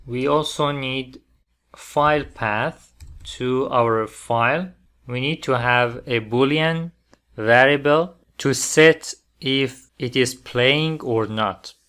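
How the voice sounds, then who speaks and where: A man presenting a programming tutorial step by step, phrasing things deliberately in short, halting fragments. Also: medium pitch, a bit bright, clean tone. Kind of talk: step-by-step software tutorial narration.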